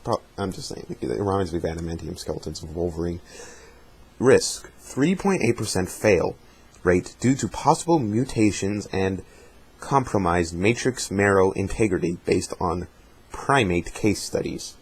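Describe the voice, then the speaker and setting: A man giving a measured dramatic reading of a journal, sometimes stumbling over technical words. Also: low-pitched, lively intonation, husky, poor audio. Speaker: amateur reader of fan fiction journal.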